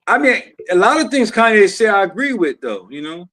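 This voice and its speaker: A man joining a casual podcast remotely, talking relaxed and slangy, calling people cuz. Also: high voice, a little fast. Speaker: podcast guest. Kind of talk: casual chat.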